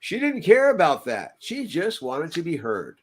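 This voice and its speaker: A man's voice: fairly high pitch, huge pitch swings, unhurried, breathy edge. An older man co-hosting a podcast, reading aloud and chatting casually over a headset at home. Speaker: podcast co-host. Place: home room, on a video call.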